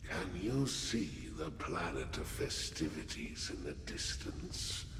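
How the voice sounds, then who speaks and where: Game warrior: deep, solemn, doom-bound, gravelly and breathy, delivery swinging widely, heavy reverb. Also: male voice, big pitch swings, exaggerated voice. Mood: grim resolve. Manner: slow, solemn, theatrical delivery. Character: grave, fatalistic male warrior devoted to destruction. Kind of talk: dramatic game cutscene acting. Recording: noisy, echoey, long reverb.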